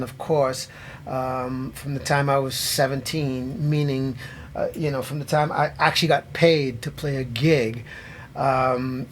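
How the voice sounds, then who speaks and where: A man's voice: mid-range voice, slight background noise. A middle-aged man from Trinidad and Tobago, a drummer chatting in a casual interview and restarting his sentences often. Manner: rambling, many restarts, laughs easily.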